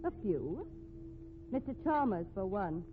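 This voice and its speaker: An actress playing a cool, unflappable widow under suspicion in a 1950s radio mystery, arch, haughty and drily mocking. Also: female voice, mid-range voice, big pitch swings, measured pace, over music. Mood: haughty, defiant. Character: cool, sardonic, unflappable widow, a murder suspect. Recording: noisy, tinny old radio recording.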